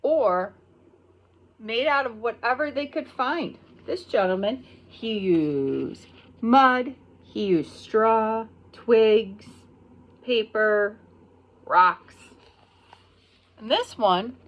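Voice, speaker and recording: American preschool teacher lady reading a picture book to little kids, really slow, explains stuff simply. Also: on the high side, animated, dull audio. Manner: simple, explanatory, pausing on key words. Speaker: pre-kindergarten teacher. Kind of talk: read-aloud of a picture book to young children, with explanations.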